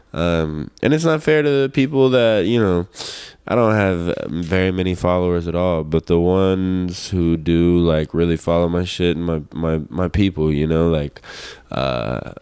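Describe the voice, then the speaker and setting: A man's voice: low voice, darkish tone, clear, resonant tone, dry recording. A man in his twenties chatting casually to his audience, rambling in a laid-back, slangy way with some swearing. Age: 20s. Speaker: host of a personal vlog show. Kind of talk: casual rambling solo chat.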